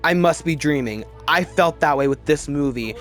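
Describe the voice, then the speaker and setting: A man's voice: fairly high pitch, moderate pace, soft background music. A man giving casual, opinionated commentary as host of a film ranking video, with gushing fan enthusiasm and repeated words for emphasis.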